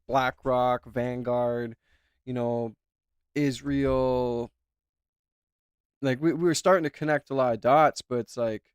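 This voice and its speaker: A man's voice: mid-range voice, smooth, no echo, clean studio sound. A man commenting as a YouTuber, talking in a rambling, emphatic way and repeating himself. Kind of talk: casual reaction commentary.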